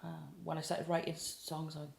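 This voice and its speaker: Welsh woman, late 20s, casual guest, mid pitch, echoey room. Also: a little fast, vocal fry. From South Wales. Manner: chatty, relaxed, with frequent fillers. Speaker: guest singer-songwriter. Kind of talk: casual interview chat. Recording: roomy echo.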